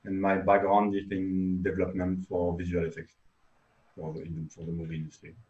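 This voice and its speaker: A man from Belgium introducing himself as a guest software developer. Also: low-pitched, monotone, brisk. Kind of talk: brief self-introduction. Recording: roomy, dull lo-fi call audio.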